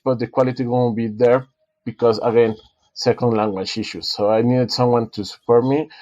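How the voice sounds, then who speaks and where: A man whose first language is Spanish, an author being interviewed, talking in a halting way with many fillers and restarts. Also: mid-range voice, a little mellow, lo-fi sound. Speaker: author guest. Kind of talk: casual interview talk.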